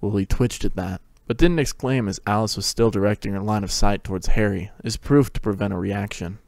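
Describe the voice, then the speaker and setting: A man reads story narration. His voice is fairly low, and the recording sounds close and dry. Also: fairly quick, vocal fry, a little mellow, no echo.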